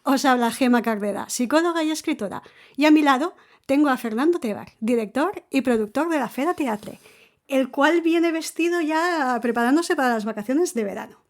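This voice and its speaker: High-voiced, cheerful woman host. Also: expressive, normal speed. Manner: cheerful, laughs often, friendly. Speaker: podcast host. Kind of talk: casual chat.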